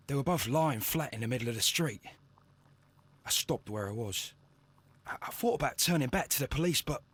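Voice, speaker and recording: Husky, nervous young London working man. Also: medium pitch. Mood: anxious recounting. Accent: English, London. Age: young adult. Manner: nervous, stammering, earnest. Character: anxious young working-class Victorian man, wrongly accused. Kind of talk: video game voice acting.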